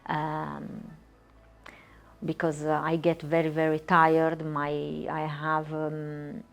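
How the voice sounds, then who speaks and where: Woman, deep and creaky, hesitant interviewee answers. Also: completely flat delivery, slow pace, soft background music. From Romania. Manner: hesitant, many fillers and repeated words. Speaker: patient.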